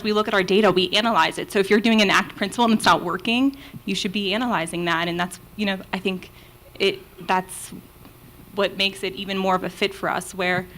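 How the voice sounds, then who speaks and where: A woman's voice: mid-range voice, fairly bright tone, a little hiss or hum, rough recording. A woman from Pennsylvania, a behavior analyst, commenting in a conference discussion in a hesitant way. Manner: hesitant, with fillers and restarts. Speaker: behavior analyst, audience member.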